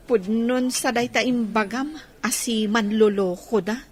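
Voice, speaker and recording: A woman's voice: a little high, fairly even intonation, a little slow, a little mellow, lightly acted. A woman playing a coy, plaintive young single woman who pines for her boyfriend, in an Ilocano-accented Filipino comedy radio drama. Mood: playful.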